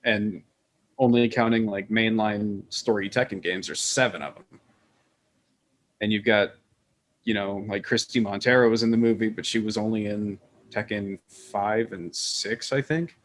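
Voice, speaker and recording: A man's voice: on the low side, fairly even intonation, unhurried. A man chatting casually as a podcast panelist, rambling and opinionated, with some casual swearing. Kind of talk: casual group chat.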